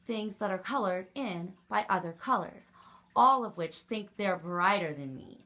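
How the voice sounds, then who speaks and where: Lady doing a fed-up storybook crayon, griping hard, bright voice, pitch jumps up. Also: heavily acted. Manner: indignant, emphatic griping. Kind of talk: storybook read-aloud for children.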